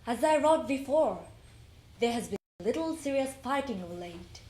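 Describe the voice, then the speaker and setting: A woman giving a deliberate, expressive dramatic reading of a wartime letter for a readers theater. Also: high voice. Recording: echoey room.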